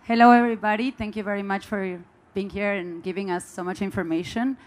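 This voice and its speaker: A woman's voice: mid-range voice, fairly even intonation, fairly quick. A woman from Argentina asks a panel a question from the audience of a large conference hall, polite and searching for words. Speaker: audience member asking a question. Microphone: handheld mic.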